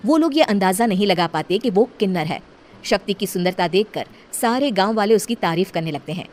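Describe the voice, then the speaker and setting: A woman storyteller reading scripted narration for an animated tale. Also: mid-range voice, fairly quick. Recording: music behind the voice.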